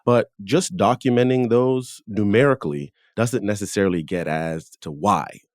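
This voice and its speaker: A man with a deep voice hosts an academic discussion in a dry, echo-free recording. He talks in a conversational, reflective way, developing the points under discussion as an expert interviewer. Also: normal speed, a little mellow. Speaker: podcast host, dermatologist.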